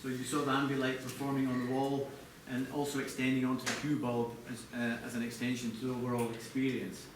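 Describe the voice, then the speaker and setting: A man giving an explanatory product design presentation to a live audience as a chief designer, speaking in measured, slightly hesitant phrases. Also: medium pitch, monotone. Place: large echoey indoor presentation space. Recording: echoey room, distant mic, background noise.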